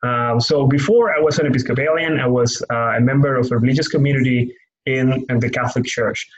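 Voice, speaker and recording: A young man with a Cuban Spanish accent talks at a middle pitch, reflecting carefully and pausing to find the right words. He is a native Spanish speaker doing pastoral care work. The audio is slightly muffled and dull. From Cuba. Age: young adult. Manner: thoughtful, searching for words. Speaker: lay pastoral care worker. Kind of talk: reflective personal interview monologue.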